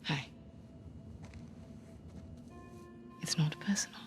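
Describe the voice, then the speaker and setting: A woman's voice: quick pace, in a whisper, natural voice. An actress playing a tough, blunt and impatient female mercenary leader in a cinematic video game, firm and assertive. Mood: cool, resolved. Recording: game dialogue over music.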